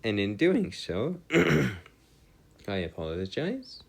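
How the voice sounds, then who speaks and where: Male tutor, lowish voice, very unhurried, hesitant and self-correcting, formal turns of phrase. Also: wildly swooping pitch, a little mellow. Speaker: maths tutor. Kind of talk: maths lesson, working through equations aloud.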